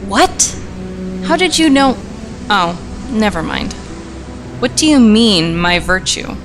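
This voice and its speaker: A woman voicing a small, sarcastic young mare pony heroine who is jumpy and quick to question, in an audio drama. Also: medium pitch, sing-song, music in the background.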